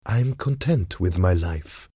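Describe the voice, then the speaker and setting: A male narrator plays a proud French lady in a storybook, lifting the pitch above his usual voice. She sounds polite and guarded, with a firm edge, recorded dry and close. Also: big pitch swings, a little slow, dark tone, dry recording.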